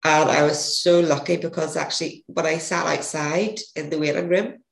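A woman with a gravelly, hoarse voice, a podcast guest telling a rambling story and correcting herself as she goes. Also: low voice, flat delivery, normal speed. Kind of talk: casual interview storytelling. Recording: echoey room.

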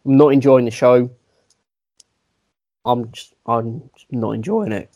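A man's voice: medium pitch, a little slow, warm tone. A man, co-hosting a casual podcast and telling a self-mocking, rambling story full of restarts. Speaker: podcast co-host. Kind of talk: casual chat, anecdote telling.

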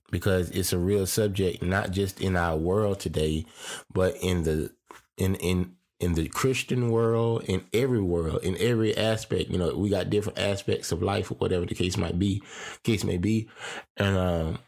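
A man's voice: deep voice, a little mellow. A man hosting his podcast, congested and a little stuffy from his sinuses, talking in a loose, rambling way with lots of fillers and restarts. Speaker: podcast host; preacher. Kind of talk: casual podcast monologue.

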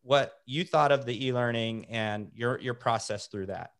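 A man's voice: mid-range voice, moderate pace, a bit bright, smooth. A man hosting a casual interview, upbeat and often restarting his sentences. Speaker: host interviewing gym coaches.

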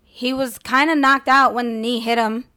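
A woman in a casual chat who states her opinions bluntly and holds her ground. Her voice sits a little higher than most. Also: steady tone, moderate pace, bright, forceful tone, smooth.

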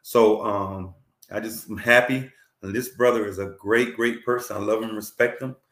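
Low-voiced effusive male show host. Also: fairly bright tone, echoey room, slight background noise. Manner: effusive, repeats words and fillers. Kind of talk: podcast talk show hosting.

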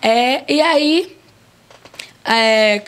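Lady in her 40s, jokey and loud about her life story, kinda high voice. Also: very slow. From Brazil. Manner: lively, joking, emphatic storyteller. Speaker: restaurant owner guest. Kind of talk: casual podcast interview.

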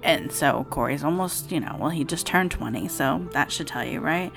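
A woman in her forties talks at home in a low, raspy voice, meandering through her thoughts with plenty of ums and restarts. Faint music plays softly from another room behind her. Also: normal speed. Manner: rambling, meandering with many fillers. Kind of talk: casual personal vlog monologue.

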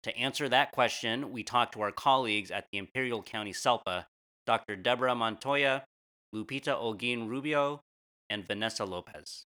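A man's voice: medium pitch, normal speed, bright, forceful tone. An American man reading a scripted podcast introduction as a co-host.

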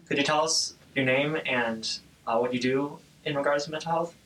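A man's voice: medium pitch, moderate pace, fairly bright tone, poor audio. Male podcast host, mid-range voice. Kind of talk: podcast interview hosting.

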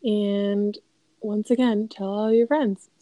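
A woman's voice: mid-range voice, steady tone, takes it slowly, mellow, dry recording, rough recording. A woman co-hosting a podcast, chatting casually and leaning on "like" as a filler. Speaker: podcast co-host. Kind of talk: casual chat.

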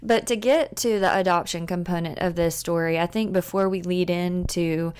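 A woman's voice: lowish voice, fairly even intonation, normal speed, darkish tone, smooth. A woman with an Alabama Southern accent hosting an interview, friendly and laughing along with her guest. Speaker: podcast host.

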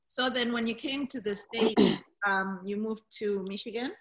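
A woman's voice: a little high, a little slow, low-quality recording. A woman hosting a podcast, introducing the show and chatting with a guest in an interview style. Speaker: podcast host.

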